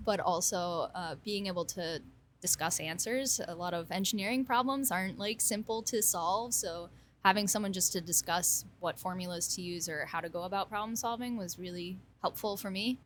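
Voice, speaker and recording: A woman in her thirties with a lowish voice tells her story in an easygoing, self-deprecating way, laughing now and then. A faint rumble hums in the background. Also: fairly quick, a bit bright. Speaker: kombucha brewer and engineering alumna. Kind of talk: conversational interview answers. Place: brewery.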